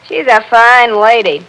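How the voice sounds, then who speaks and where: An actress playing a pert, outspoken household maid in 1940s radio style, with a cheeky, mocking manner. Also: female voice, fairly high pitch, fairly bright tone, a little hiss or hum, exaggerated voice, poor audio.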